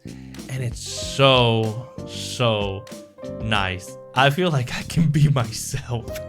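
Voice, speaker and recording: A man hosting his audio channel, chatting casually to viewers in a rambling, self-interrupting way. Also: mid-range voice. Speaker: audio roleplay creator and channel host.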